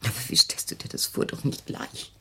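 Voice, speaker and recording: A woman playing a controlling, defensive middle-aged mother in an intimate radio play, measured and insistent, with a husky, breathy delivery. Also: bright, forceful tone, in a whisper. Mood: cold, matter-of-fact. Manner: measured, insistent, self-justifying. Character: controlling, defensive mother of a fearful grown daughter.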